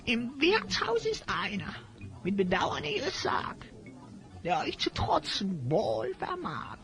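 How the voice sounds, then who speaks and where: Casting: a fawning, scheming hyena-man, slow rhyming delivery with sharp bright edge, audio drama with music underneath. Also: very high voice, huge pitch swings, full character voice. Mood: whining, scheming. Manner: speaks theatrically in rhyme, obsequious. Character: sly, flattering hyena-headed male spy, two-faced schemer. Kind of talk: German fantasy audio drama acting.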